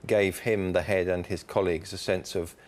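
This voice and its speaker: Deep voiced guy on a book panel, wordy and deliberate, makes his points firmly. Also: steady tone, darkish tone. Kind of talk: opinionated literary discussion.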